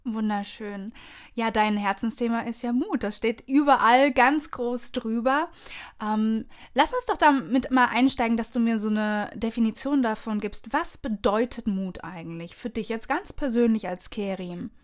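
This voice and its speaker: A woman's voice: a little high, moderate pace. A woman hosting an interview podcast in a personal, conversational style, with an effusive, gushing manner. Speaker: podcast host.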